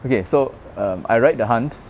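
A man's voice: mid-range voice, moderate pace, slight background noise. A man who grew up in Malaysia, speaking, chatting casually about his road bike in an open parking garage at night, full of fillers and restarts. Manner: chatty, animated when telling stories, many fillers and restarts. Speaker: bike owner, guest. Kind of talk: casual interview chat.

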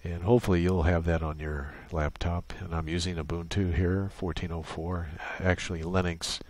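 A man's voice: low-pitched, flat delivery, moderate pace, darkish tone. A man presenting an unscripted tutorial, explaining a setup casually, step by step. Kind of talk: casual unscripted software walkthrough.

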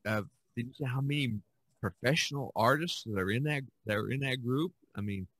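A man's voice: medium pitch, brisk, fairly bright tone, dry recording. A man hosting a podcast, chatting casually in a rambling, conversational way. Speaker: podcast host. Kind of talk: casual chat.

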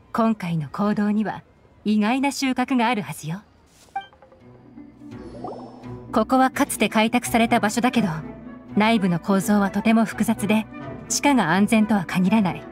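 A woman's voice: medium pitch, lightly acted. A woman playing a composed, shrewd young intelligence chief who speaks with authority in an anime game. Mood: calm, focused. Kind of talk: Japanese anime game voice acting. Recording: game music behind.

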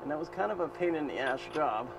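A man's voice: fairly high pitch, animated, fast, gravelly. Man talking over engine room noise. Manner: plain practical talk with fillers, thinking aloud while working. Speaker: boat owner vlogger. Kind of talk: hands-on repair walkthrough. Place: cramped boat engine room. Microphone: action camera mounted in the engine room. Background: running boat engine.

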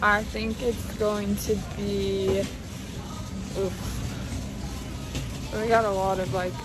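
A woman's voice: mid-range voice, little pitch movement, takes it slowly, over music. A young woman in her twenties, chatting casually and narrating to a handheld phone camera while grocery shopping. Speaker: family vlogger. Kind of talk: casual chat. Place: large grocery store and its parking lot. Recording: noisy store and parking lot.

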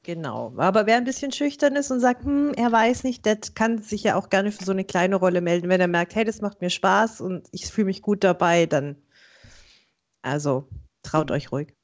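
A woman's voice: medium pitch, animated, normal speed. A woman chatting casually and encouragingly as co-host of a livestream. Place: room at home, on a group video call. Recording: slightly dull voice-chat sound.

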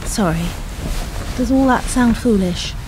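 A woman's voice: a little high, measured pace, darkish tone, slight background noise, close to an everyday voice, low-quality recording. A woman playing an earnest, questioning idealist in a radio drama. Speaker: actor in a radio play. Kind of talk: radio drama acting, dialogue.

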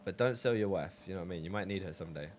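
A man's voice: deep voice, racing, darkish tone, a little hiss or hum. A man chatting casually on a game live stream, reading viewer messages aloud.

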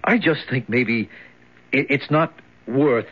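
An actor playing a doubting deputy with a conscience in a radio drama, hesitant and protesting. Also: male voice, medium pitch, slow, fairly bright tone, slightly rough. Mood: troubled. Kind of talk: 1970s radio drama acting. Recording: dull vintage radio recording.

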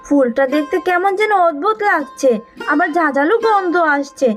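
A woman's voice: high-pitched, lively intonation, moderate pace. A woman voicing a stern, suspicious mother in a cartoon, brusque and scolding. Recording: long reverb with music underneath.

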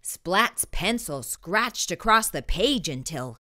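Low-pitched, expressive woman storyteller. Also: slow. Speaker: storytime host and narrator. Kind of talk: expressive children's storybook read-aloud.

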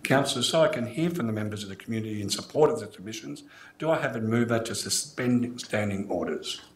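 An older man with an Australian accent, formally chairing a meeting as mayor in a measured, procedural manner. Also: on the low side, lively intonation. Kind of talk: formal scripted meeting address. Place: indoor council chamber. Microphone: gooseneck desk microphone. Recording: echoey room, clear background noise.

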